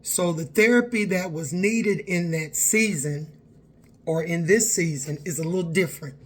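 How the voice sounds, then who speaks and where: A woman's voice: lowish voice, normal speed. A woman, talking candidly and earnestly to her viewers in a personal vlog. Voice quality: raspy. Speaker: vlogger.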